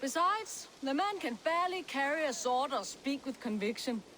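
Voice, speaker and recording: A woman's voice: high voice, expressive, moderate pace, a bit bright, slight background noise. A woman playing a proud, commanding Norse noblewoman in a video game, firm and blunt in her delivery. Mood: dismissive.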